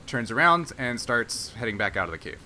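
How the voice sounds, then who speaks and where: Bright-voiced man running a tabletop game. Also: medium pitch, sing-song, a little hiss or hum. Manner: halting, thinking aloud while explaining rules. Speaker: game master. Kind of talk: narrating a tabletop roleplaying game.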